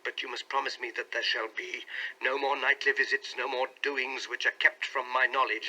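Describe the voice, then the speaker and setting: An anguished husband, played by a male reader, tells his story with earnest intensity. His voice is very sharp and forceful, roughened by a husky rasp. The delivery is dramatic and suspicious, in classic audiobook style. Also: mid-range voice, rough recording. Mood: stern resolve. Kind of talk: dramatic audiobook reading in character.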